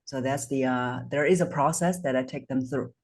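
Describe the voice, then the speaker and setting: Woman, 40s, voice coach guest; husky tone, measured and earnest delivery. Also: rumbling low, a little mellow. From Singapore. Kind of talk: interview guest answering questions.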